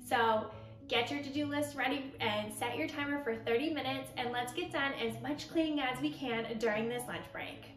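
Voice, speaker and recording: A woman's voice: sits high, moderate pace, fairly bright tone. A young woman giving a casual, chatty voice-over as a home vlogger, talking directly to her viewers. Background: background music.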